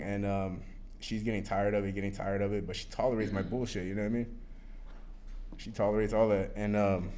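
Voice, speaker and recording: A man's voice: on the low side, mellow. A man in his thirties telling his recovery story at a support meeting, candid and rambling with lots of fillers and cursing. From Arizona. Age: 30s. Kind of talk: personal recovery testimony.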